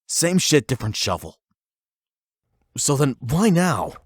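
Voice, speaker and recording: A man's voice: mid-range voice, very animated, slow pace, slightly rough, dry recording, clean studio sound, voice pushed up, exaggerated voice. A man voicing a bitter, self-loathing young delinquent who is half demon, brash, sweary and defensive, in an anime audio roleplay. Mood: incredulous, irritated.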